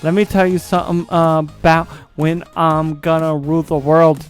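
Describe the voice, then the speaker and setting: A man's voice: on the high side, flat delivery, normal speed, smooth, faint music behind, natural voice. A man voicing a brash rapper who boasts about running for president in a cocky, crude, swaggering manner. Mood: cocky boasting. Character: the Driz, brash trash-talking male rapper running for president. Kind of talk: crude comic boasting and battle banter.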